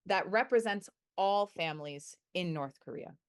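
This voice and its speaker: Young woman researcher, slightly low pitch, careful and measured delivery, corrects herself mid-sentence. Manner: thoughtful, measured, self-correcting. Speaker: guest researcher. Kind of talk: academic interview answers.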